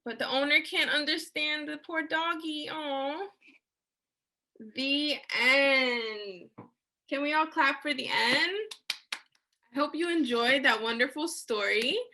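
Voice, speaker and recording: Woman, high pitch, bright tone, children's read-aloud host, encouraging, question-asking. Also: takes it slowly. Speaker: children's story-time host.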